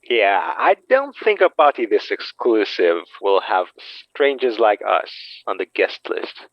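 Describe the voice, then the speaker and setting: A man's voice: fairly low pitch, big pitch swings, a little slow, no room sound, dull audio. An actor playing a wry, cautious, self-deprecating man in an audio drama, talking in a casual, dry-humored way.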